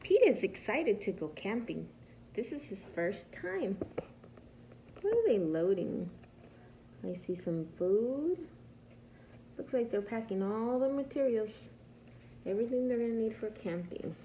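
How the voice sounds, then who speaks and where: A woman reads a picture book to small children like a patient preschool teacher, stopping to ask them simple questions. Her delivery is slow, with a creaky edge to the voice. The recording is a slightly muffled, lo-fi home one. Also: a little high, sing-song, warm tone, a little hiss or hum. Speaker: preschool teacher reading aloud. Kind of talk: picture-book read-aloud for young children.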